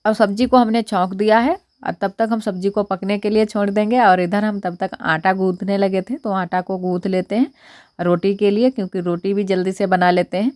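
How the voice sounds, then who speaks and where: Hoarse young woman chatting while cooking. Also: mid-range voice, fairly even intonation, normal speed, darkish tone, dry recording, studio quality. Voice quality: husky, strained voice. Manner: chatty, homely step-by-step narration. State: hoarse from a cold. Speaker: village vlogger. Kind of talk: casual cooking vlog narration. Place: village home kitchen.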